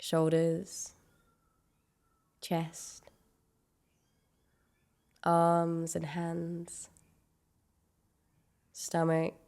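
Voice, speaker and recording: Lady doing guided meditation, really slow, bit of gravelly fry in her voice, gentle. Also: lowish voice, completely flat delivery. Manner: gentle, unhurried instruction with long pauses.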